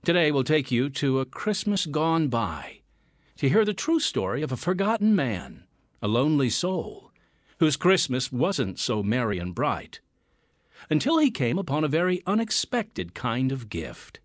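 A man's voice: mid-range voice, sing-song, a little slow, dry recording, studio quality. A man narrating a scripted radio drama as its announcer, solemn and theatrical, stretching out key phrases.

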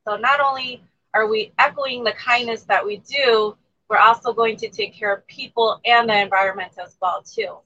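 Bright-voiced woman on a dull mic. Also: steady tone, moderate pace. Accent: American. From Thailand. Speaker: guest, community organizer. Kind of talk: interview answers. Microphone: low-level remote mic. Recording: dull low-level remote mic.

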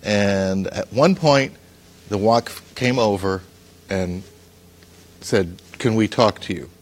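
A man's voice: on the low side, takes it slowly. An American man in his sixties, telling stories conversationally as an interview guest. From New Jersey, USA. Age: 60s. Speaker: talk show guest, news editor.

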